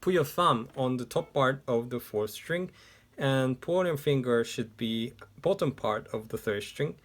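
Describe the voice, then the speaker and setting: A man's voice: medium pitch, expressive. A man whose first language is Japanese, teaching a ukulele tutorial in English step by step, seated indoors. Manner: slow, step-by-step explaining. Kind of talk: music tutorial instruction. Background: ukulele playing.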